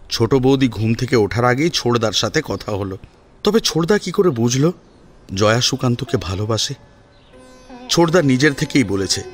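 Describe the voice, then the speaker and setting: A man narrating a scripted family drama serial with expressive, dramatic storytelling. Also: medium pitch. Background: soft sitar and plucked string music.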